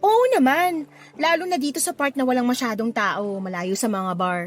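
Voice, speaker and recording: A woman playing a cheerful, friendly young provincial woman in a Tagalog radio drama, playful and expressive. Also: sits high, moderate pace. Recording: music under the voice.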